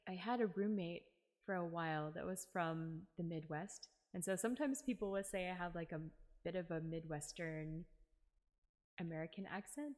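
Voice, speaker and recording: A woman from Texas streaming a game, chatting casually and giggling often. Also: on the low side. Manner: chatty, giggly, full of "like" fillers. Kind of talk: casual game-stream chat and reading aloud. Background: game music.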